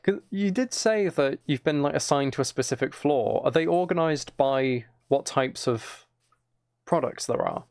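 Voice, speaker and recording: A man's voice: fairly high pitch, lively intonation, moderate pace, a little mellow, no room sound, clean studio sound. A man chatting casually and teasingly to his viewers while playing a game on a livestream.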